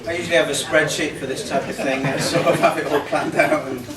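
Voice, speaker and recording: A man in his fifties giving an impromptu, sincere thank-you speech in a halting way. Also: a little high, steady tone, over a noisy crowd. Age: 50s. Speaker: birthday honoree giving a speech. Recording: echoey, noisy room, distant microphone.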